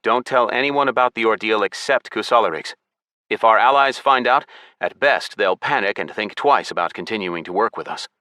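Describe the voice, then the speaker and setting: A man's voice: fairly low pitch, normal speed, sharp tone, dry recording. A man voicing a serious, decisive young gamer hero in an earnest, firm manner.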